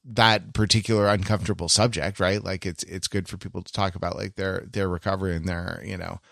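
A man in a casual, analytical podcast discussion, speaking haltingly as he thinks aloud and qualifies himself. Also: sits low, little pitch movement, vocal fry, fairly bright tone, no echo, studio quality. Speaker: podcast panelist.

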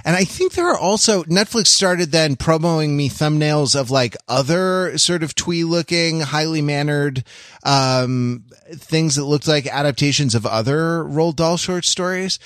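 Man, podcast host, casual discussion, halting, repeats himself, very dry sound. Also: on the high side, fairly bright tone, no echo. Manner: rambling, halting, repeats words mid-sentence.

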